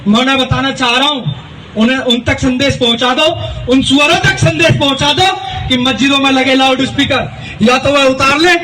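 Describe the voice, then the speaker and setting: A man's voice: very high voice, fairly even intonation, normal speed, bright, forceful tone. A man giving a strident, repetitive political rally speech in an echoey, noisy recording made at a distance. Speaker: youth organisation district leader. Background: crowd.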